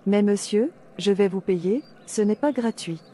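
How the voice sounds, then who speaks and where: Woman voicing a polite cartoon lady asking for a hand, dark mellow tone, noisy recording. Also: mid-range voice, a little slow, natural voice. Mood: apologetic. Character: polite married woman pleading for help with a load. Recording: clear background noise.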